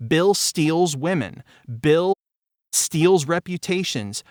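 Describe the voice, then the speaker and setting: A man's voice: on the high side, lively intonation, takes it slowly, clean tone, no echo, studio quality. A man in his forties telling behind-the-scenes stories in a candid, emphatic way, repeating phrases for stress. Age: 40s. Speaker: audio drama creator discussing the show behind the scenes. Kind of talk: animated conversational storytelling.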